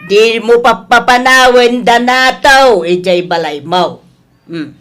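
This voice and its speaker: Stubborn old Ilocano grandmother, unhurried, pleading, melodramatic, Filipino accent. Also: a little high, fairly bright tone. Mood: firm. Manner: drawn-out, emphatic, emotional delivery. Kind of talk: melodramatic radio drama acting.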